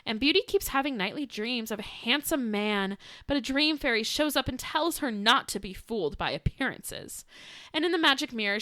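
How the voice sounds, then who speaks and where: A young woman chats through a fairytale on a podcast, laughing often and tossing in sarcastic asides. Her voice is bright and lively in pitch, and the sound is close and dry. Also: dry recording. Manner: giggly, sarcastic, acts out quotes in voices. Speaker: podcast co-host. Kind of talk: casual storytelling chat.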